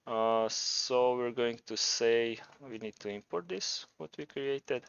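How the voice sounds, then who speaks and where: A man's voice: mid-range voice, takes it slowly, vocal fry, a bit bright, clean tone, highs cut off. A man with a Croatian accent presenting a programming tutorial, talking informally and thinking aloud as he demonstrates. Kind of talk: casual screencast tutorial narration.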